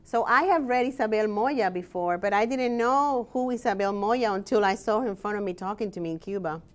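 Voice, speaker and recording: A woman scholar and researcher giving a presentation, explaining in a measured way with frequent "um" pauses. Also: medium pitch. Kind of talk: presentation talk with slides.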